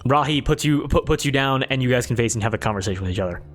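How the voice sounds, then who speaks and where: A man's voice: mid-range voice, rapid-fire. A man improvising narration as game master of a tabletop game, often repeating and rephrasing as he goes. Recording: background music under the voice. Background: music.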